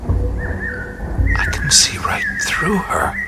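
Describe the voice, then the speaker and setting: A hushed, awed dream-wanderer. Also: male voice, extremely slow, very noisy. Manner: hushed, awed, often whispering. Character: adult man adventurer narrating a dreamlike vision. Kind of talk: first-person radio play narration. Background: music.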